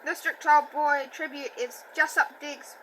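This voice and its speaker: A woman reading a novel aloud, halting and self-correcting, with a stutter and a slight lisp. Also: sits high, steady tone, pushed and bright, a little hiss or hum, low-quality recording. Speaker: audiobook reader. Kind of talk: amateur book reading.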